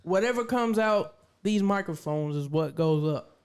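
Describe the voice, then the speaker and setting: A man's voice: high voice, animated, a little slow, a little mellow, clear, resonant tone. A man of thirty co-hosting a podcast in casual, slangy conversation. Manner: slangy, colloquial. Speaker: podcast co-host. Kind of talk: casual chat.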